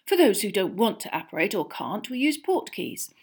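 A woman's voice: medium pitch, big pitch swings, normal speed, slightly rough. A woman voicing a mild, earnest middle-aged wizard father in a storybook, explaining patiently with slight hesitation. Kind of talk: acted audiobook dialogue.

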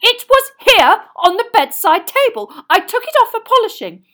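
A woman's voice: mid-range voice, big pitch swings, a little slow, fairly bright tone, slightly rough, heavily acted. A woman voicing a pompous, self-important older teenage boy in a storybook, speaking formally and with lofty dignity. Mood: angry.

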